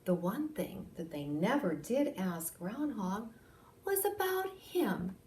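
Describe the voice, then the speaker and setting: A woman reading a picture book aloud for children, expressively and with dramatic pauses. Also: mid-range voice, sing-song, darkish tone, a little hiss or hum. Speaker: library storytime reader. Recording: roomy echo, distant microphone.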